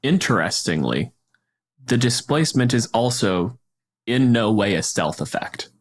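A man's voice: medium pitch, expressive, measured pace. A man chatting casually as a podcast host, explaining things in a conversational way. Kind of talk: casual tabletop game discussion.

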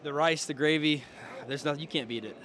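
A teenage Southern football player giving short, easygoing answers into a handheld mic. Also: male voice, fairly high pitch, a little fast, a bit bright. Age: teens. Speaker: high school football player. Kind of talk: casual interview answers. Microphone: handheld mic held by interviewer.

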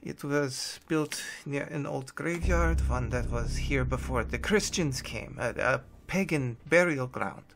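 A man's voice: medium pitch, a little slow, creaky, hardly any pauses, fairly bright tone, a little hiss or hum. A man voicing a terrified Nazi fugitive in a horror story, with a German accent and a whimpering, stammering delivery. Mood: haunted, whimpering. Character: terrified Nazi fugitive, thin, wild-eyed man, near hysteria.

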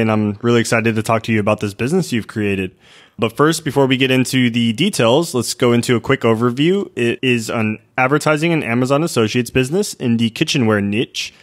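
A man hosting a business podcast, upbeat and reading listing details from a script before asking questions. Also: medium pitch. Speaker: podcast host.

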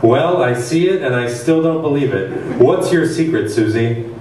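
A man's voice: medium pitch, animated, normal speed, voice pushed up, lightly acted. A man playing a smarmy, grandiose TV game show host with syrupy showman patter. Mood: amazed, showy. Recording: echoey hall, distant mic, faint noise.

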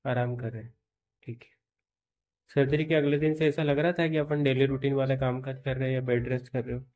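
A man, a doctor, asking a patient plain, quick questions in a casual bedside manner in a clinic room. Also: mid-range voice, fairly even intonation, a little mellow.

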